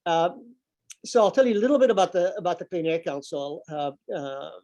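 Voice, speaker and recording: A man's voice: high-pitched, animated, fairly quick, mellow. An older man speaking to a business group over a video call, full of fillers and repeated words. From grew up overseas. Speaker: environmental nonprofit director and speaker. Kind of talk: informal talk to a business audience. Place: office room with a window. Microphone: laptop webcam, video call.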